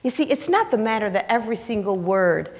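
A middle-aged woman delivers a sermon in a church, her medium-pitched voice ringing with the reverb of the hall. She talks conversationally yet with emphasis, putting questions to her listeners and repeating key phrases. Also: lively intonation, fairly quick. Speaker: preacher. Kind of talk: sermon, teaching scripture to a congregation. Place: church sanctuary, indoors, on the platform. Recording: reverberant hall, picked up in large room.

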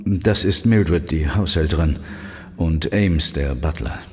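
An actor playing a grave, courteous gentleman house guest in a German radio drama. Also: a bass voice, slow pace, slight background noise. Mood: grave, polite. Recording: long indoor reverberation.

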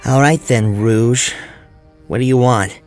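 A man's voice: mid-range voice, slow pace, faint music behind, close to an everyday voice. A man voicing a young male hero with a secret identity, suspicious and defiant. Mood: wary. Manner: suspicious, defiant, talks back.

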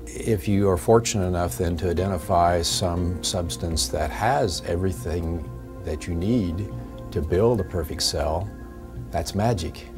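Very deep-voiced older man explaining. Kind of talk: documentary interview. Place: office with a desk.

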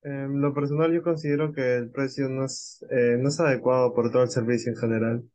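A young man giving a halting opinion on a video call. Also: mid-range voice, steady tone, darkish tone. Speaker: focus group participant. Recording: slightly dull video-call audio.

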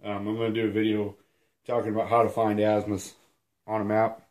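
A man in his thirties, hoarse and sniffling from a cold, giving a rambling, self-deprecating whiteboard lesson at home. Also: on the low side, completely flat delivery, brisk, a little mellow. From Illinois. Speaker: outdoors channel host. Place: small room at home, indoors.

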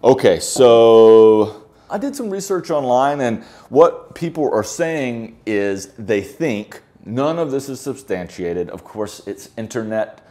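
A man's voice: mid-range voice, lively intonation, slow, warm tone. A man in his forties talking casually to camera as a vlogger, in a loose, conversational, improvised way, recorded indoors on a lapel mic. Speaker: film photography vlogger. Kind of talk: casual talk to camera, reviewing. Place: indoor living-room style office, seated on a sofa.

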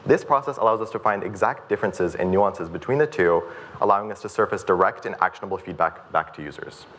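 A young man from Kyrgyzstan runs a product demo on a stage. His voice is low and he talks quickly, briskly explaining each step to the room. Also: a bit bright. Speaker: researcher presenting a demo. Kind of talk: scripted demo presentation. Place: stage in a mid-sized event room.

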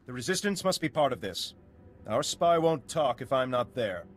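An actor playing an earnest, insistent resistance leader in a video game, serious and deliberate. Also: male voice, medium pitch, very animated, close to an everyday voice. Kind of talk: video game cutscene acting.

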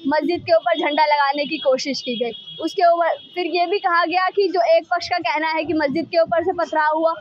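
A woman in her twenties interviewing as a street reporter, laying out the news haltingly and rephrasing as she goes. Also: very high-pitched, no echo. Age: 20s. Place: outdoors in a busy market street. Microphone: handheld reporter's microphone. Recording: dull, dry street recording.

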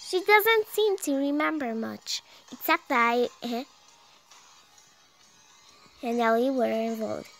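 A very high, youthful female voice reads visual novel text aloud at a slow pace. She is dramatic but halting, often repeating and tripping on words, with music playing behind her. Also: vocal fry, fairly bright tone, poor audio. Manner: halting, stumbling over words and repeating them.

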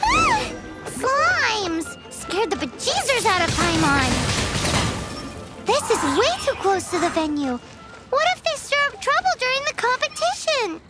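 A tiny childlike fairy for a video game. It is a piercingly high, squeaky voice that swoops about with dramatic excitement, with music playing behind it. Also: female voice, a little slow, heavily acted. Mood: alarmed. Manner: speaks of self in third person, dramatic.

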